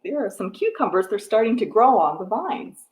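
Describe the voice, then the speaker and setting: A woman's voice: mid-range voice, sing-song, normal speed. American lady doing kids storytime, really sing-song up and down, simple words, echoey room. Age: middle-aged. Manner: simple explanations with gentle questions to children. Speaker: children's librarian hosting storytime. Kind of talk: picture-book read-aloud and craft talk to young children. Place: room at home with wooden floor and piano. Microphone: device microphone at about arm's length.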